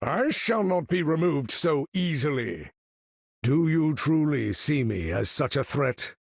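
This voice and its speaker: A proud older supervillain for a video game, husky and gravelly in a raised register. He speaks slowly and grandly, with formal phrasing and sweeping, commanding swings of pitch. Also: sing-song, no echo, studio quality, exaggerated voice. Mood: imperious. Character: proud, imperious older male mutant master of magnetism. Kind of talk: theatrical game character voice lines.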